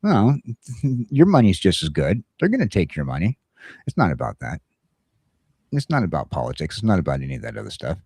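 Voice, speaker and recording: A man's voice: deep voice, huge pitch swings, brisk, warm tone, husky, no echo, clean studio sound. A man hosting a casual talk show, rambling along and repeating phrases for emphasis. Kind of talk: casual chat.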